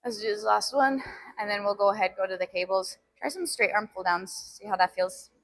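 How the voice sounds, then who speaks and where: A woman's voice: mid-range voice, a little fast, low-quality recording. A woman whose first language is Albanian, chatting casually on a fitness live stream from a gym and laughing often as she reads comments. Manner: laughs often, reads chat aloud between sets. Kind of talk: casual live-stream chat while working out.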